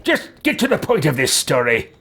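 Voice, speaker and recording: A man's voice: a little high, wildly swooping pitch, normal speed, bright, forceful tone, breathy edge, exaggerated voice. A man voicing a brash, grumbling adventurer hero for a fantasy game, declaiming theatrically. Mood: suspicious, impatient.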